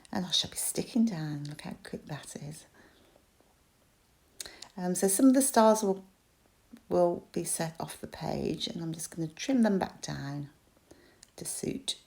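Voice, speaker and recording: A British woman in her forties with a croaky voice, chatting casually as she talks through a scrapbook craft project with lots of ums and small laughs. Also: deep voice, darkish tone. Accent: British English. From United Kingdom. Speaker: crafter and shop designer. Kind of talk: crafting tutorial voice-over.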